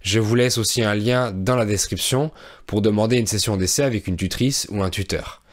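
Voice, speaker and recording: A man in his thirties from France, a fairly low-voiced language teacher recounting a trip to learners. He speaks in a clear, measured way, with the odd soft "euh". He is recorded close on a studio microphone in a small indoor room. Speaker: French teacher and podcast host. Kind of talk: relaxed storytelling for language learners. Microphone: studio microphone on a boom arm, close.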